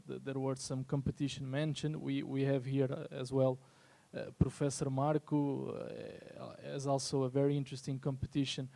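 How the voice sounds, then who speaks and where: A man answering on a discussion panel in a halting, formal and polite manner. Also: mid-range voice, vocal fry, warm tone. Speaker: trade agency representative, panelist. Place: live panel at a symposium.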